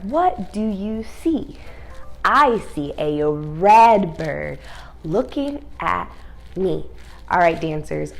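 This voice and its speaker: A woman teaching young children through read-aloud and ballet, speaking in an encouraging, sing-song way with simple instructions and questions. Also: medium pitch.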